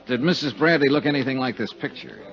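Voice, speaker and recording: An American man playing a brisk, dry, persistent insurance investigator in a 1950s radio drama. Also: medium pitch, lightly acted. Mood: probing, dry. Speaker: lead actor and narrator of a detective serial. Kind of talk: 1950s radio drama acting and first-person narration. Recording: dull 1950s radio recording, faint music.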